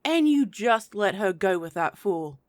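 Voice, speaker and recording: A woman's voice: medium pitch, very animated, unhurried, darkish tone, no echo, studio quality. A woman playing a prim, stern, dutiful attendant of a princess in a fantasy audio roleplay, speaking in a formal, proper, clipped way. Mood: disapproving.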